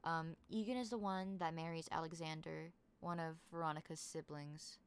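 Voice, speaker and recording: A woman's voice: sits low, fairly even intonation, moderate pace, smooth. A young woman in casual voice-call chat as a tabletop game player, rambling with many fillers. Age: young adult.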